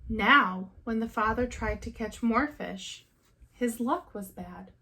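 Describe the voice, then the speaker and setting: A woman teacher reading a picture book aloud to young children in a deliberate, expressive storytelling way. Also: a little high.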